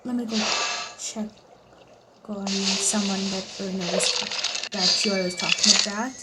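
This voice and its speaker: A woman's voice: mid-range voice, fairly even intonation, moderate pace. A woman giving casual, rambling game playthrough commentary, often repeating herself. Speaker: game streamer. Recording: loud noise and clicks behind voice.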